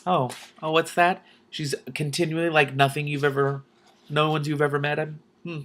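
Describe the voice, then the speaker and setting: A man's voice: fairly high pitch, flat delivery, normal speed, a bit bright. A man reading a novel aloud expressively as host, dropping in casual commentary of his own.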